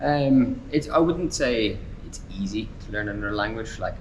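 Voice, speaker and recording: Young Irish man, twenties, interview guest; low-pitched, easygoing, filler-heavy delivery; noisy recording with rumble. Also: moderate pace. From Ireland. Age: 20s. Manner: relaxed, frequent fillers like "um" and "like". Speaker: traveler guest. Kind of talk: casual conversational interview.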